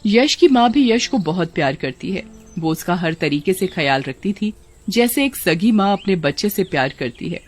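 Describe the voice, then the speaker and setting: A woman's voice: on the low side, expressive, moderate pace, darkish tone. A woman giving scripted narration for a children's cartoon story.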